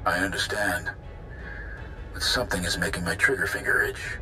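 Game role: hawkish veteran-style warrior, intensely forceful, deliberate, some music beneath. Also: male voice, low-pitched, fairly even intonation, poor audio. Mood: grim. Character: wary, hawkish male Mandalorian warrior advisor. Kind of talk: dramatic video game acting.

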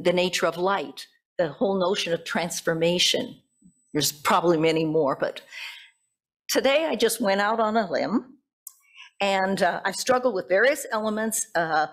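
A middle-aged American woman, a pastor, giving a reflective, conversational sermon at a church pulpit microphone. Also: on the low side. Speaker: pastor preaching. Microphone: gooseneck pulpit microphone.

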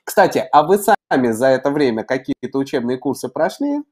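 Middle-aged man, medium pitch, interview host on a video call, deliberate, halting, hesitant phrasing. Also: expressive, a little mellow. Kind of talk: unscripted interview hosting. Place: indoor room, on a video call.

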